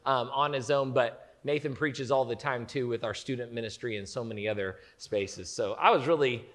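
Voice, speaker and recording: A man in his thirties, a pastor, co-teaching a sermon with a slightly scratchy voice and an energetic delivery in a large church auditorium. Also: mid-range voice, moderate pace. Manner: energetic, gestures while speaking. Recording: long hall reverb.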